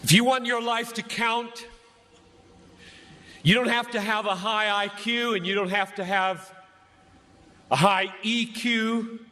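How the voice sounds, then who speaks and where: Impassioned high-pitched male preacher, reverberant venue. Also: steady tone, sharp tone. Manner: emphatic, deliberate, dramatic pauses between short phrases. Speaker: preacher, pastor. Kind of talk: impassioned sermon to a large crowd. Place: large gathering before a crowd. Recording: echoey large venue, long reverb.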